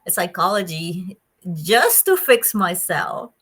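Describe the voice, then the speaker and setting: A woman from Colombia with a Colombian Spanish accent, speaking as a psychologist guest in a conversational interview, animated and quick to laugh. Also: fairly low pitch, slightly rough. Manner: animated, laughs often, bright delivery.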